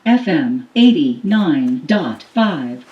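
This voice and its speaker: Slow automated woman's voice, distant and echoey. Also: low voice, sing-song. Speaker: radio voice announcement system. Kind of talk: automated voice prompts. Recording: dull, echoey, distant speaker with music. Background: loud music.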